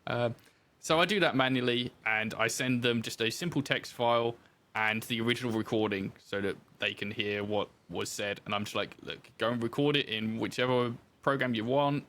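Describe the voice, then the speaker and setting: A man's voice: mid-range voice, a little fast, a bit bright. A man talking casually as a guest in a podcast interview, telling anecdotes with self-deprecating asides.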